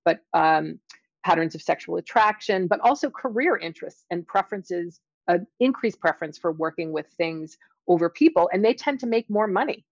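Creaky-voiced woman explaining science carefully. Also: lowish voice, lively intonation, no room sound. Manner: careful, qualifying, measured explanations. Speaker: academic guest, science author. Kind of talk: explanatory interview conversation. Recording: dull, slightly lo-fi dry audio.

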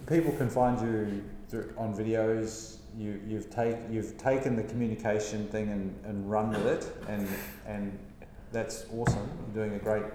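A man hosting a casual interview, encouraging his guest with frequent affirmations and halting restarts in his questions. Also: lowish voice, level tone. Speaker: podcast host. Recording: very roomy reverberant hall, faint noise.

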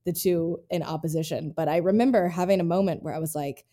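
A woman's voice: fairly low pitch, steady tone, fast, warm tone. A woman from North Carolina hosting a podcast, telling personal stories in an earnest, reflective way. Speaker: podcast host. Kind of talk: casual interview chat.

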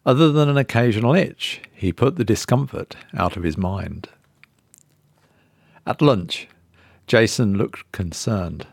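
Man, low voice, dramatic story narration, suspenseful, measured, dry recording. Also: animated, gravelly, no echo. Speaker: narrator reading a horror short story.

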